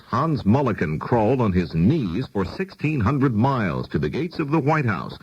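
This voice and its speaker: A man's voice: on the low side, slightly rough, no room sound. An American man working as a news anchor, reading a scripted radio newscast. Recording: dull 1970s AM radio broadcast.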